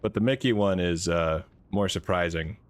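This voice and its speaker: A man with a naturally deep voice chatting casually while playing a game, rambling and laughing at himself. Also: normal speed, clear, resonant tone. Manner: rambling, self-amused, laughs often. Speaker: game streamer. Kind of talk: casual gaming commentary.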